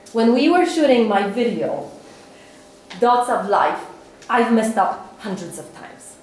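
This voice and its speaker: Woman introducing the next speaker, slow and deliberate, recorded from afar in a reverberant hall. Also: on the high side, darkish tone, slight background noise. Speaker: speech club introducer. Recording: echoey room, far from the mic.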